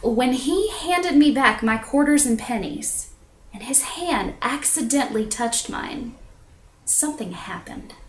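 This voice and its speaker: A woman acts out a candid, self-conscious woman making an intimate stage confession. Her voice sits fairly high, with dramatic pauses and stretched emphasis. It echoes around a room on a cheap webcam mic. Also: fairly bright tone, slight background noise. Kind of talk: theatrical confessional monologue.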